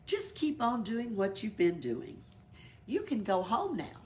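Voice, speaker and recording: A woman's voice: medium pitch, wildly swooping pitch, measured pace, a little mellow, a little hiss or hum. Woman as a patient, weary elephant village boss. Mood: polite, official. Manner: patient, authoritative.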